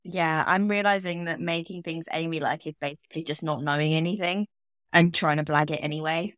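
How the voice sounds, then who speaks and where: A woman's voice: low-pitched, fairly even intonation, a little fast, a bit bright, no echo. A woman in her thirties with a British accent co-hosts a podcast in a casual, chatty style. She likes to tease. The sound is close and dry. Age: 30s. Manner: teasing, chatty. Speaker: podcast co-host. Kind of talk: casual banter and true crime discussion.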